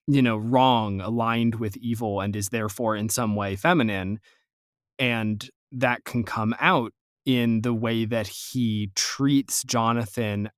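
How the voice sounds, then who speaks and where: Slow-talking, reflective male podcast host. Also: fairly low pitch, no room sound, studio quality. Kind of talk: thoughtful interview conversation.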